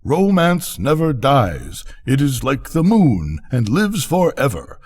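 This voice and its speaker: A big, opinionated firework from a storybook, acted by an older man. It speaks emphatically and scornfully, pitched well above his usual low voice, with animated swings. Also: slow pace, slightly rough, heavily acted. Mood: insistent.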